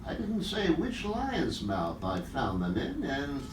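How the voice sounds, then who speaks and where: An actor playing a tough, suspicious detective with hard-boiled questioning in a rehearsed radio play. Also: male voice, on the high side, wildly swooping pitch, slow, heavily acted. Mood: suspicious, probing. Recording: noisy, echoey, distant rehearsal audio.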